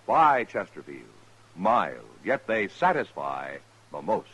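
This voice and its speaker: A man's voice: fairly low pitch, sing-song, slow, dull audio. An American man reading a scripted 1950s radio commercial as an announcer. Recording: old radio broadcast with music.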